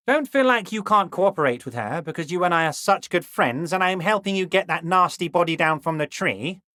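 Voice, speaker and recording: Oily union boss, swooping intonation. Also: male voice, sits high, bright, forceful tone, no echo, clean studio sound. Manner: ingratiating, oily, insinuating, repeatedly addressing listener as a pal. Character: smug, falsely friendly, overweight corrupt union boss, adult man. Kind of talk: video game character dialogue.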